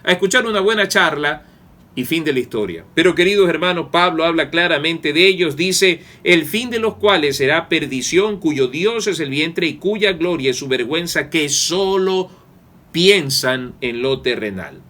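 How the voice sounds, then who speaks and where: Earnest higher-voiced male preacher. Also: expressive, normal speed, sharp tone. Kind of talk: Bible study sermon.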